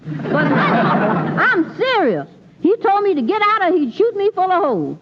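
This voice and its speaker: An actress playing an affectionate, gossipy housemaid in old-time radio comedy, talking in a folksy, colloquial way. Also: female voice, very high voice, wildly swooping pitch, unhurried, exaggerated voice. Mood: eager, gossipy. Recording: muffled old radio recording.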